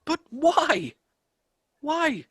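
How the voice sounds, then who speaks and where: High-voiced, earnest young gentleman. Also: big pitch swings, extremely slow, no room sound, clean studio sound, full character voice. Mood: pleading. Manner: earnest, impassioned, declamatory. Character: cheerful, warm-hearted young gentleman nephew, earnest and pleading. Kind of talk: theatrical radio play acting.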